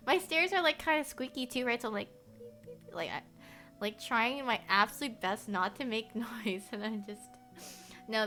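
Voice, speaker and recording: A woman's voice: mid-range voice, pushed and bright. A young woman whose first language is Korean, livestreaming casual chat with viewers. She rambles, fills her talk with "like," and laughs often.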